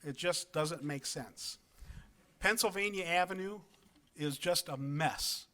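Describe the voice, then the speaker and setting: A man from Wisconsin speaking at a city plan commission meeting, earnest and halting, with many ums and restarts. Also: fairly high pitch, pushed and bright. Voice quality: big voice. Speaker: resident speaking at public comment. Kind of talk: public meeting comment. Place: council chamber.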